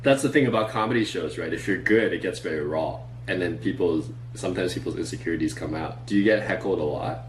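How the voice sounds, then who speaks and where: A man hosting an interview podcast, relaxed and curious, asking questions and telling his own stories. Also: low voice, quick pace, hardly any pauses, a little hiss or hum, rough recording. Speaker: podcast host. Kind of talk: casual interview and storytelling.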